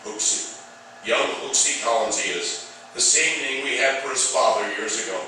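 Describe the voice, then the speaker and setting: An actor playing a kindly, proud veteran fire chief in an old-time radio drama. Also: male voice, mid-range voice, normal speed, pushed and bright. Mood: fond, proud. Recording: very echoey hall, distant mic, faint noise.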